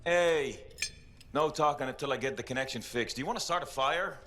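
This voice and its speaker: An actor playing a blunt, practical mechanic in a video game, grumbling and muttering in a naturalistic way. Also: male voice, fairly high pitch, fairly quick, pushed and bright. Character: gruff, practical male mechanic, scolding but steadying comrade.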